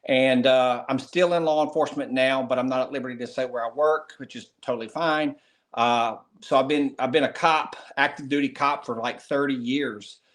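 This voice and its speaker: A man in his fifties with a North Carolina Southern accent, talking easily as an interview guest in a plainspoken way, with fillers and restarts. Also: medium pitch. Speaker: guest, retired Secret Service agent. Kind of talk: relaxed interview storytelling.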